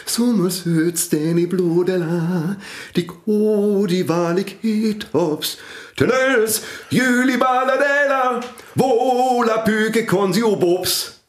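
An actor in a German radio play playing a fervent, imperious priest and inventor, grandiose and preachy in manner. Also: male voice, sits high, takes it slowly, roomy sound. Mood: stubborn, defensive.

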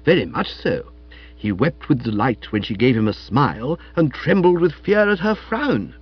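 A man voicing a chatty, foppish young English gentleman in an audiobook, with florid upper-class slang. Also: medium pitch, big pitch swings, moderate pace, husky, highs cut off. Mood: earnest. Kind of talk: audiobook character voice.

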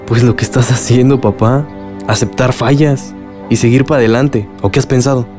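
A reassuring, principled young son in a radio drama played by a man, with a Mexican accent. His mid-range voice is earnest, and music plays clearly behind it. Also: mid-range voice, steady tone, normal speed, lightly acted. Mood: supportive, earnest. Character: supportive, principled adult son of village leader. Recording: music bed under dialogue.

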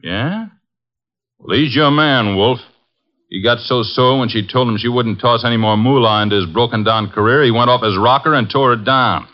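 A man's voice: medium pitch, normal speed, lightly acted. An actor playing a tough, surly wise guy in a 1940s radio crime drama, talking blunt American slang. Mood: accusing, scornful. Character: tough, surly, slangy male shady big shot. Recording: muffled old 1940s radio recording.

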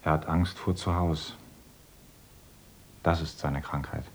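A man's voice: rumbling low, fairly even intonation, takes it slowly, slight background noise, full character voice. An actor playing an idealistic young male teacher in a radio play, earnest and lecturing. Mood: gentle, earnest. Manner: earnest, lecturing, grows fervent. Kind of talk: radio drama acting.

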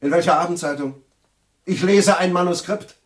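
An actor playing a vain, boisterous writer in a German radio drama, with a pompous, declamatory delivery. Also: male voice, high voice, normal speed, lightly acted. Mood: indignant. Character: vain, boisterous male hack writer, self-important.

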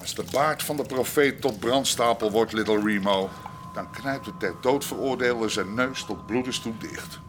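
An actor playing a boastful, messianic convict who preaches grandly and talks about himself by name in a radio play. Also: male voice, lowish voice, normal speed, natural voice. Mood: bitter, theatrical. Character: burn-scarred, boastful, messianic male prisoner with fascist leanings. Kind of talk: Dutch radio play acting. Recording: noisy radio drama recording.

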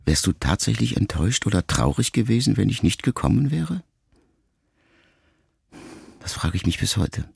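An actor playing a melancholy, reflective writer son in a radio drama, speaking in measured, intimate, halting phrases as if to his mother. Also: on the low side, sing-song, gravelly, full character voice. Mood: quietly bitter.

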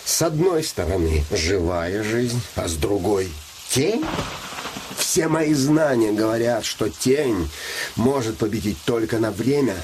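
Earnest young scholar, swooping intonation. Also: male voice, lowish voice, noisy background. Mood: calm, resolute. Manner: deliberate, sincere, expressive. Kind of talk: theatrical audio play acting. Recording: music playing behind the dialogue.

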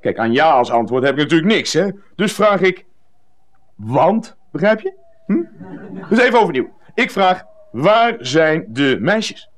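An actor in a radio comedy playing a hearty, overbearing gym-teacher buddy with a jovial, bossy way of talking. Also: male voice, on the high side, sing-song, a little slow. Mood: smug explaining. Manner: jovial, bossy, loud exhortations. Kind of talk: comedic radio drama acting.

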